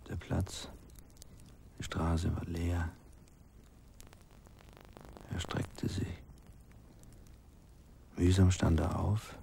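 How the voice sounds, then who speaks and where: Very deep, gravelly village storyteller. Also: male voice, slight background noise, heavily acted. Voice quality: gravelly, husky, often dropping to a whisper. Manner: hushed, halting storytelling in short sentences. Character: grave village man recounting a haunting past event. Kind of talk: radio drama narration.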